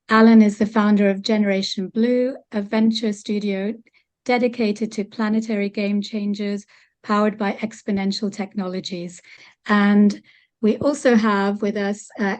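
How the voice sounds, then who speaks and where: A woman opens a webinar over a video call and reads each panelist's introduction. Her delivery is steady and scripted, at a middle pitch. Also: a little slow. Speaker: webinar moderator. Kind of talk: reading speaker introductions.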